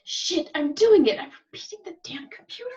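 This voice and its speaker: A woman's voice: very high-pitched, big pitch swings, fairly quick, darkish tone, distant mic. A woman acting an exasperated, defensive starship communications officer in a script read, on dull lo-fi audio. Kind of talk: screenplay table read acting. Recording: dull lo-fi remote call audio.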